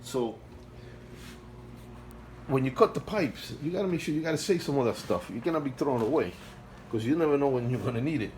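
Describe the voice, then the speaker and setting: A man's voice: medium pitch, lively intonation, darkish tone, rough recording. Guy with an accent talking on his phone at home, keeps restarting, earnest, bit of hum. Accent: non-native English accent. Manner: halting and repetitive, restarts phrases, earnest and pleading. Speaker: hobbyist vlogger. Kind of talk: casual home video walkthrough. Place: home, beside an aquarium indoors. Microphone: phone held by the speaker while filming.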